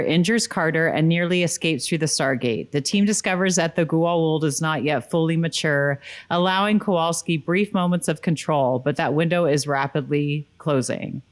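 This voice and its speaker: A woman co-hosting a podcast, chatting casually and sometimes reading out recaps. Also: fairly low pitch. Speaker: podcast co-host. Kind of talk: casual chat with read episode recaps.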